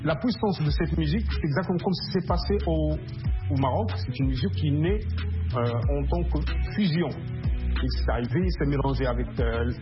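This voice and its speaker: A man's voice: fairly high pitch, a little fast. A man with a Moroccan accent whose first languages are Berber and Arabic, a musician talking earnestly in a radio interview and often restarting his words. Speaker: musician guest. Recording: muffled radio broadcast with music behind.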